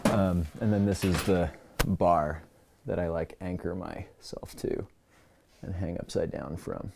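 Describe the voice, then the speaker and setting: A man with a fairly low voice hosts a casual livestream from an indoor workspace. He rambles playfully as he shows things off. Some background noise and clicking sit behind his voice. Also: fairly even intonation, measured pace, a little mellow. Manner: playful, rambling show-and-tell. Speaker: livestream host. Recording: clearly noisy room recording with clicks.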